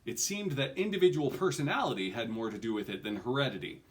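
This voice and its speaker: A man's voice: medium pitch, quick pace. Middle-aged man reading a fantasy novel aloud. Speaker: amateur book reader. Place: small furnished room at home.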